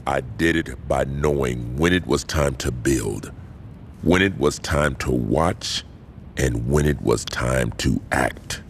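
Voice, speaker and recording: Super deep croaky american gang boss, commanding and deliberate, game cutscene, sweary. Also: male voice, slight background noise, full character voice. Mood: menacing, boastful. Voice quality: gravelly. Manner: imperious, deliberate, profane. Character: proud, commanding veteran male gang boss. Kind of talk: video game cutscene acting.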